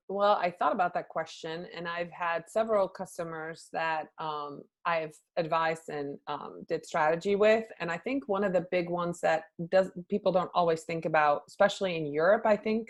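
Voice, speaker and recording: A woman's voice: fairly low pitch, fairly even intonation, moderate pace. A middle-aged woman from the Netherlands, a social media consultant, answering interview questions in a chatty way with many fillers.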